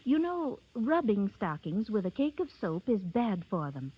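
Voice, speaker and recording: Gentle advice-giving aunt, mid voice, muffled old radio ad. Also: female voice, medium pitch, very animated, a little slow, breathy edge. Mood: kindly, advising. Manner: gentle, instructive. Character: kindly, advising aunt. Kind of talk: 1930s radio advertisement acting. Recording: muffled 1930s radio broadcast.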